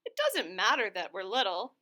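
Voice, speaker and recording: Animated woman reading a children's storybook. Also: mid-range voice, moderate pace. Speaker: storybook reader. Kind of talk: animated children's read-aloud.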